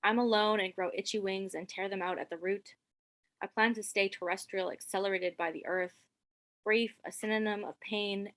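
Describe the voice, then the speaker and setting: Woman, poet, bright voice, measured reading, video call. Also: mid-range voice. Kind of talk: poetry reading.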